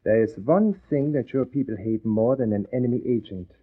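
A man's voice: medium pitch, a little fast, husky, natural voice. A man playing a menacing wartime German spy ringleader in a 1940s radio drama, formal, clipped and imperious, cold and mocking. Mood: stern, threatening. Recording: muffled, narrow-band old radio recording.

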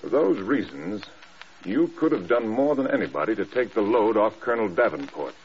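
An actor playing a stern, hard-driving air force general, clipped and scathing, in a radio drama. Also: male voice, fairly low pitch, lively intonation, moderate pace. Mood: cold, scathing. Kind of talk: mid-century radio drama acting. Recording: dull, noisy old radio recording.

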